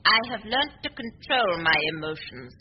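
여자 배우가 연기하는 새침하고 침착한 노부인. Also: female voice, medium pitch, very animated, natural voice. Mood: cool, controlled. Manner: precise, measured, emphatic. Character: composed, prim elderly spinster, unflappable and precise. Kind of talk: 1940s radio drama acting. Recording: dull, noisy old 1940s radio recording.

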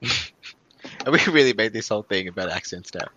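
A man's voice: on the low side, lively intonation, moderate pace, pushed and bright, rough recording. A young Australian man with an Australian accent, co-hosting a podcast in a laid-back casual chat and laughing easily. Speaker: podcast co-host. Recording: faint background hiss.

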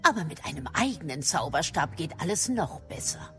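Middle-aged female professor, formal, shrewd, brisk, lively intonation, lowish pitch, audio drama. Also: faint music behind. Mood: brisk, instructive. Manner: formal, crisp, mildly probing. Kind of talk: German audio drama acting.

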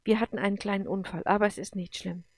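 Woman narrator as exhausted wounded man, protective, tender, pleading, gravelly edge, dry audiobook recording. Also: medium pitch, fairly even intonation, fast, darkish tone, dry recording, lightly acted. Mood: weary, reassuring.

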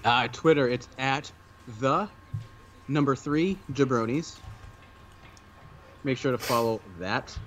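A man's voice: slow, long pauses. A man hosting a wrestling podcast in casual, joking chat. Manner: joking, sings the show chant in a loud high voice. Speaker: podcast host. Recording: dull lo-fi sound, faint hiss.

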